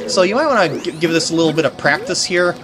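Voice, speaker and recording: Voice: mid-range voice, lively intonation, fast talker. A game walkthrough host giving casual, rambling commentary with mock exasperation.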